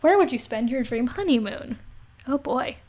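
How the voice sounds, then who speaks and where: A young woman with a voice on the high side co-hosts a casual podcast. She banters playfully, with a wry, giggly way of talking. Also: lively intonation, moderate pace, a little mellow. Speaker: podcast co-host. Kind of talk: casual banter, reading quizzes aloud.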